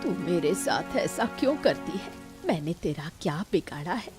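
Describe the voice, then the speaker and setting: A woman's voice: on the low side, big pitch swings, moderate pace, music in the background. A woman voicing a poor, wronged old mother-in-law in a cartoon melodrama, plaintive and aggrieved. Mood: plaintive, hurt. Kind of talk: Hindi cartoon family melodrama.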